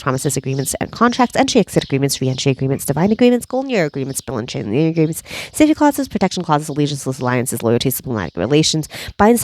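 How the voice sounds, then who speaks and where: A woman leading a guided energy clearing session, speaking slowly and soothingly, with repeated cues and breathy sighs. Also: low voice, a little mellow. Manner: slow, soothing, repetitive guiding with breathy sighs. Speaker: energy healing facilitator.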